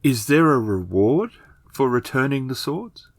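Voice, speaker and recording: A man's voice: medium pitch, very animated, a little slow, no echo, voice pushed up. A man voicing a pragmatic, money-minded villager who speaks respectfully and persuasively in a tabletop game. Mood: reasonable, placating.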